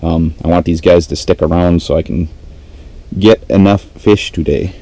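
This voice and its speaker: A man giving low-key, casual running commentary to himself as he fishes, recorded on a body-worn action camera. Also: fairly even intonation, moderate pace. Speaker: fishing vlogger. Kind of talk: casual running commentary while ice fishing. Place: small ice-fishing shelter on a frozen lake, sometimes out on open ice. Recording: dark muffled sound, background noise.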